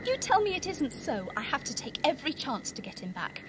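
A woman plays a worried, demanding mother in an audio drama. Her voice is very high and swoops expressively over clear background noise. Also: big pitch swings, fairly quick. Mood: determined. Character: frightened but determined English mother, anxious, demanding. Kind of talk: fan audio drama acting.

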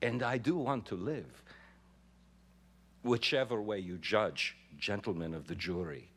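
A man's voice: fairly low pitch, a little slow. A middle-aged actor reading as a young male immigrant labor organizer pleading before a jury on a theater stage. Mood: tender. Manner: impassioned, swelling then dropping to hushed. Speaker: actor giving a dramatic reading. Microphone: podium microphone.

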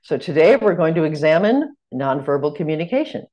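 A middle-aged American woman, a stage actor, welcoming participants and leading an online teaching session over a video call in a measured, welcoming way. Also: sits low, big pitch swings, mellow. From United States. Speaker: teaching workshop facilitator. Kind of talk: online lecture.

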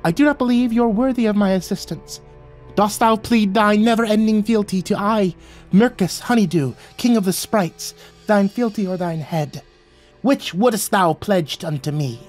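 A man's voice: high-pitched, moderate pace, a little mellow, soft background music, pitched above the performer's own voice, close to an everyday voice. A man voicing a tiny, imperious sprite king who speaks in pompous, scornful, archaic proclamations in a fantasy story. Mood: stern, demanding.

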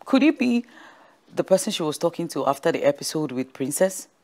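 Low-voiced, suspicious nosy woman. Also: big pitch swings, a little fast, darkish tone. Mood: suspicious, musing.

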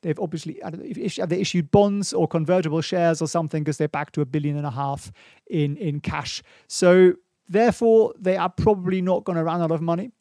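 A man's voice: on the high side, fairly even intonation, fairly quick, mellow, no room sound. A man in his forties with a German accent, casually commenting on stock charts in a home studio on a studio mic, thinking aloud and reading viewer questions. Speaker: stock market livestream host. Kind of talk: casual live market commentary. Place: small home studio, indoors. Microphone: studio mic on boom in front of face.

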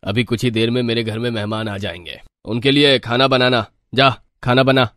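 A man voicing a cruel, greedy old village moneylender, bossy and scornful, in an animated story. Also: mid-range voice, moderate pace, no room sound, studio quality, close to an everyday voice.

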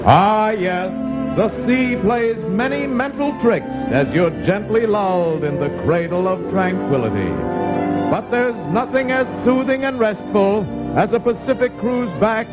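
A man announcing in a scripted 1950s travel-ad style, with smooth salesmanlike patter. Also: high voice, expressive, a little slow. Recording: dull 1950s TV soundtrack, loud music.

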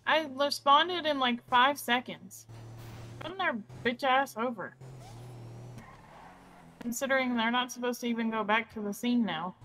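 A woman's voice: on the high side, measured pace, long pauses, sharp tone, a little hiss or hum, low-quality recording. A woman talking bluntly and swearing in casual in-game roleplay chat. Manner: blunt, profane. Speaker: roleplay partner playing a medic.

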